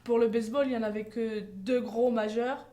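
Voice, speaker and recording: A woman's voice: on the high side, steady tone, brisk, mellow. A young woman from France, answering interview questions fluently. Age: young adult. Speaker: guest, softball player.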